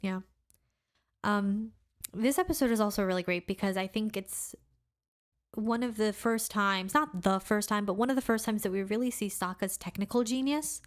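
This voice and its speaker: A woman's voice: mid-range voice, normal speed. A woman co-hosting a podcast in casual chat, talking eagerly with lots of filler words. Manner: enthusiastic, heavy use of "like" and "really cool". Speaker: podcast co-host.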